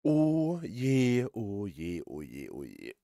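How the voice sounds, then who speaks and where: A man hosts a video game playthrough in a fairly low voice. He comments casually, circling back over phrases with hesitant rambling. The sound is dry, without room echo. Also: wildly swooping pitch, takes it slowly, creaky, warm tone. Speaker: let's play host.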